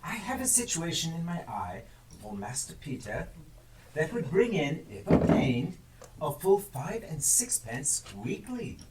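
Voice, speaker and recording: A woman's voice: low voice, sing-song, a little slow. A woman playing a proud working family man in a live amateur stage play. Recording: echoey stage, distant mic, loud noise.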